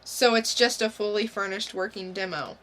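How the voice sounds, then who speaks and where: A woman's voice: medium pitch, steady tone, moderate pace, fairly bright tone, close to an everyday voice, poor audio. A woman playing an awkward, nervous young man in an amateur comic book reading, sounding hesitant and unsure. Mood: hesitant, surprised.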